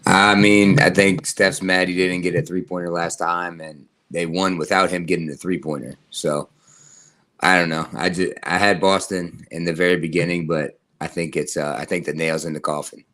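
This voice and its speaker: A hoarse man co-hosting a casual chat, easygoing and joking, with his voice nearly gone. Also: sits low, level tone, moderate pace, fairly bright tone. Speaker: co-host, athletic trainer.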